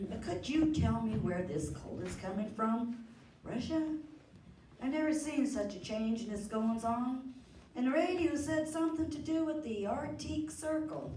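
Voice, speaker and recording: A chatty, teasing country farm wife in a radio play, acted by a woman. She talks slowly in a folksy, doubting way, from some distance in an echoey room. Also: fairly high pitch, lively intonation, mellow. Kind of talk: radio drama acting. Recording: echoey room, distant mic, faint noise.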